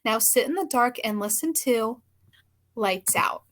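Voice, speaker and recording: A woman's voice: a little high, animated, measured pace, very noisy. A woman announcing an old-time radio horror show in a theatrical, commanding manner.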